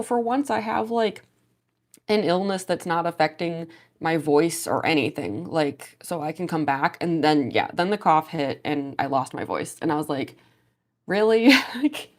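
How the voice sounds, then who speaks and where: A young woman with a slightly low voice talks casually on a livestream while she does her makeup. She rambles along with plenty of fillers and quick little laughs. She sounds a bit stuffy and sniffly, still getting over a cold. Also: expressive. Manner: rambling, many fillers, laughs often, reads chat aloud. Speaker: cosplay livestreamer.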